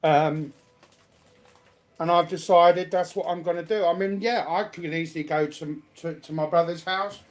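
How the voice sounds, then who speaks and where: Higher-pitched man rambling in casual chat. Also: steady tone, normal speed. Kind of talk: casual chat on a livestream panel.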